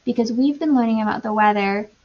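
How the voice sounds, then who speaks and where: A woman teacher doing an animated read-aloud for young children, pausing to ask questions and explain in simple, repetitive wording. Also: a little high, dull audio.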